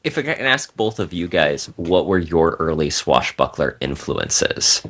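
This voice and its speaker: A man's voice: a bass voice, big pitch swings, a little slow, a bit bright. A man speaking as a podcast guest, explaining things in a casual, conversational way.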